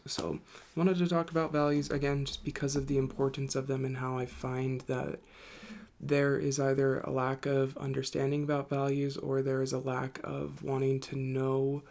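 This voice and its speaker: A man's voice: medium pitch, creaky, a little mellow. A young man hosting his own channel, talking casually while congested and tired from illness, rambling and thinking aloud as he goes. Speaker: host. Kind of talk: casual solo talk to camera.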